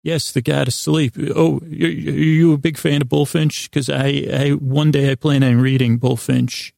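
A man with a gravelly, creaky voice, recorded close and dry. He hosts a bedtime show, rambling in a soothing, improvised way. His sentences stumble, restart and wander off into gentle tangents. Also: fairly high pitch, level tone, moderate pace, dry recording. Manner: meandering, stammering, with repeated false starts and tangents; lulling, soothing delivery. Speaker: host of a bedtime sleep podcast.

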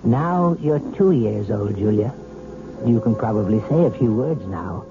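An actor playing a calm male hypnotist who talks in a soft, measured, persuasive way, in a 1970s radio drama. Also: on the low side, background noise. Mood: coaxing. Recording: tinny old radio broadcast with music.

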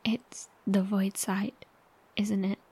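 A woman's voice: medium pitch, level tone, slow pace, mellow, husky. A woman playing a caring, anxious survivors' broadcaster in an audio horror drama. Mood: uneasy. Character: Jess, caring, anxious female bunker broadcaster.

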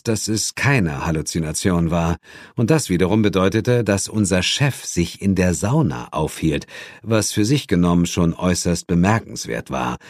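Male audiobook narrator, deep register, very dry sound, dramatic first-person delivery with dry wit. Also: a little mellow, no room sound. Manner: wry, dry irony.

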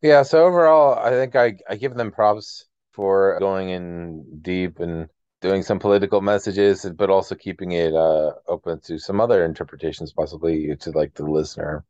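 Male podcast co-host, low-pitched, conversational and searching for words, on close, dry audio. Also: a little mellow, no room sound, muffled recording. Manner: halting, rephrases as he thinks aloud. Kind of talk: casual podcast discussion.

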